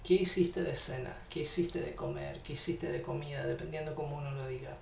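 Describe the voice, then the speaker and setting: A man with a Chilean Spanish accent teaching English grammar in a live online class, patiently repeating English example sentences slowly. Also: a little high, level tone, creaky, roomy sound, far from the mic, slight background noise.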